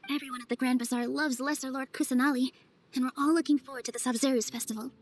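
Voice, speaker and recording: An actress playing a kind, graceful young festival dancer in a video game, speaking softly and earnestly. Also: female voice, high voice, steady tone, fast, slight background noise, natural voice. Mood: welcoming. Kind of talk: video game character voice acting.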